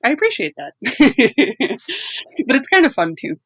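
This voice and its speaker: A woman's voice: a little high, sing-song, a little slow, husky, no echo. A young woman, a podcast sound designer, chatting casually and laughing easily.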